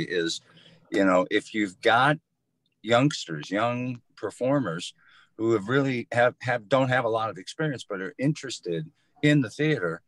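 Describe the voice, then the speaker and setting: A man's voice: on the low side, a bit bright. A man giving easygoing, thoughtful, conversational answers as an interview guest from community theater.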